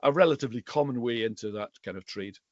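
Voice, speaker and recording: A middle-aged man from the Western Isles of Scotland, a native Gaelic speaker with a Highland accent, chatting conversationally as an interview guest. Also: fast talker, no echo, dull audio. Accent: Scottish Highland. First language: Scottish Gaelic. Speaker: guest, bookseller and Gaelic teacher.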